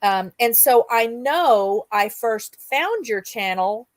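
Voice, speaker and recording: A woman's voice: on the high side, animated, slow, no room sound. A middle-aged or older woman hosting a casual livestream chat, talking in an enthusiastic, rambling storytelling way. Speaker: host of a livestream roundup show.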